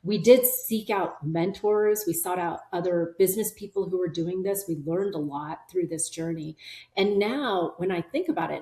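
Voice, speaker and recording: A middle-aged woman whose first language was Korean speaks with a low, earnest voice as a guest on an interview. She sounds measured and reflective. The room adds a roomy echo around her. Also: dark tone. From South Korea, raised in Arkansas. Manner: earnest, measured, affirms often with "yeah". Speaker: company CEO, podcast guest.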